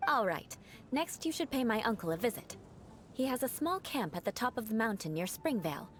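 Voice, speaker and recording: A woman's voice: fairly high pitch, very animated, brisk. An actress playing a proud, aloof aristocratic female knight who speaks formally with dry, haughty teasing, in video game dialogue.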